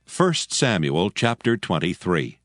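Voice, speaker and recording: A man's voice: deep voice, very animated, slow pace, a bit bright, no room sound. A man narrating scripture from a script in a solemn storytelling manner.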